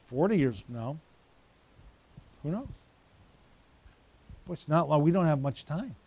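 A man's voice: a little high, expressive, a little slow, a little mellow, husky. A pastor in his fifties giving a conversational sermon, teasing members of the congregation by name. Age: 50s. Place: church.

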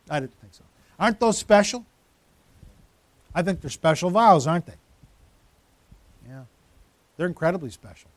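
A man's voice: on the high side, no room sound. Man in his fifties preaching, dry sound. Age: 50s. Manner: conversational, teasing the congregation by name. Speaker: pastor. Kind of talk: sermon. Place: church.

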